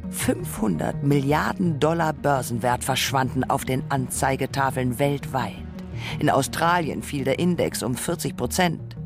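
A performer voicing a reflective radio narrator who muses on robots and humans in deliberate, rhetorical phrases. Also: mid-range voice, expressive, gravelly. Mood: argumentative. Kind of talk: scripted radio essay narration. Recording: music behind the voice. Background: music.

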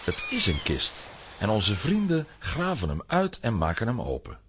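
A man narrating a children's adventure story from a script in a husky voice with dramatic delivery. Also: medium pitch, wildly swooping pitch, moderate pace, heavy background noise.

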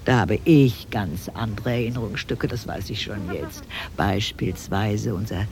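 A man's voice: lowish voice, a little slow, noisy background. An actor playing a self-satisfied, authoritarian father in a radio drama, pompous and fussy in his way of talking.

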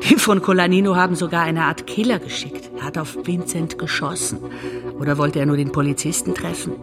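A woman's voice: deep voice, steady tone, fairly quick. An actress playing a weary, bitter woman confessing in a letter, an intimate radio-play monologue. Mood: bitter, resigned. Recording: music underneath the voice.

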